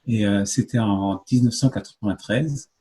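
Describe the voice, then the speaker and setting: A man in his fifties from France, a guest in an interview, who explains earnestly with many pauses and fillers. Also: lowish voice, warm tone. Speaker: guest, movement spokesman. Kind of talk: conversational interview answers.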